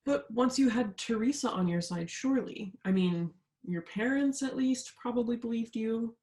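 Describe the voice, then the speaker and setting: A woman's voice: a little high, normal speed, warm tone, lightly acted. A young woman playing an insistent, haunted student searching for the truth in a staged reading, earnest and full of pressing questions. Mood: urgent, probing. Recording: roomy, echoing sound.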